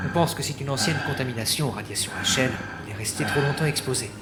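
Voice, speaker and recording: A man playing a nervous, excitable scientist's assistant in a French sci-fi audio drama, with a hesitant manner. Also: mid-range voice, quick pace, natural voice. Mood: concerned. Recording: roomy echo, loud music behind.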